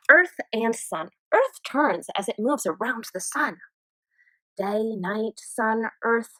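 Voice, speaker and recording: A woman teacher reading a children's nonfiction book aloud in an expressive storytime manner. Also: on the high side, no room sound, rough recording.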